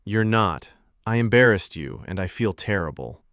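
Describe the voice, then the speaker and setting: A man voicing a casual, apologetic young love interest in a romance story, with a gentle way of talking. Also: on the low side, lively intonation, moderate pace, no echo, clean studio sound.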